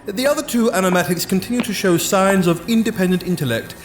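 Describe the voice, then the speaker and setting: A high male voice rings in a roomy space over music. It is an actor as an imperious, pompous scientist of fantasy audio drama. He dictates in formal, precise, clinical phrases. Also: fast talker, lightly acted. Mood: clinical, commanding. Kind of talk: full-cast fantasy audio drama acting. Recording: roomy echo with music behind.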